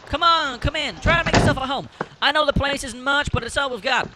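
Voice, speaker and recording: An actor playing a stern, hard-working sibling in charge of a farmhouse in an amateur audio drama. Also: male voice, very high-pitched, fairly quick, very noisy. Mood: hospitable, weary.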